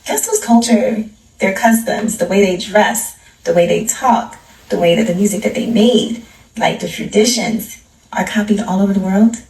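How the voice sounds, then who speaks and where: A woman's voice: medium pitch, moderate pace, a little mellow. An American woman giving a casual opinion in a sassy, emphatic manner. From United States. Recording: echoey, distant microphone.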